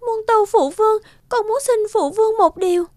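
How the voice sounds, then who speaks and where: A woman voicing a young celestial princess in a cartoon, gentle but stubborn, lovelorn and emotional. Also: extremely high pitch, a little fast, dry recording, clean studio sound, pitched above the performer's own voice. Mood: pleading. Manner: pleading, emotional.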